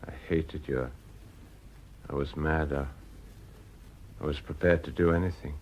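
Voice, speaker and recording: An actor playing a defeated, remorseful industrialist in an audio drama, emotionally drained. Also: male voice, a bass voice, fairly even intonation, unhurried, a little hiss or hum. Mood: drained, confessional.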